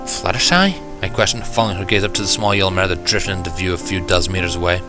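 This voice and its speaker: A man's voice: deep voice, steady tone, racing, music in the background. A man reading a first-person story aloud in a wry, conversational way. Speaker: audiobook reader of fan fiction.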